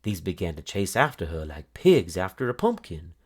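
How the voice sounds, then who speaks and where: A man reading a children's story aloud as an expressive storyteller. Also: low-pitched, normal speed, slightly rough.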